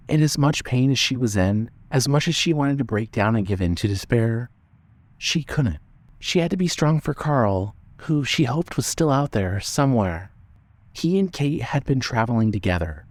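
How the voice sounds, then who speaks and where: A man's voice: medium pitch, a little mellow, dry recording. A man narrating a scripted dramatic story as an audiobook-style narrator.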